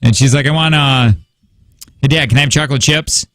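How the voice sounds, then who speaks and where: A man's voice: mid-range voice, steady tone, a little fast, a bit bright, dry recording. Male radio host, 30s, rambling and self-doubting, dry studio sound. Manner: rambling, self-reproachful, many restarts. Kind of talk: casual morning radio chat. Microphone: studio mic.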